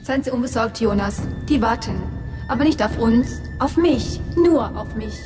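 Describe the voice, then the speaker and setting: A woman's voice: a little high, animated, normal speed, close to an everyday voice. A woman playing a cool, ruthless party agent, polite and coldly mocking, in a sci-fi radio crime drama. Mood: cool, smug. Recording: echoing cavern reverb, music behind.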